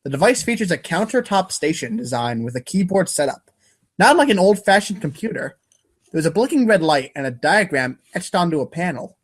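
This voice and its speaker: A man's voice: sits high, sing-song, a little fast. A man reading scripted comic narration as the narrator of a reader's theater show.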